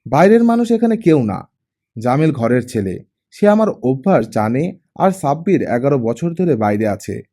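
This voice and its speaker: Audiobook role: proud older ex-police boss and father, performed by a man, gruff, mellow-toned, used to command. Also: medium pitch, big pitch swings, normal speed. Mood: demanding.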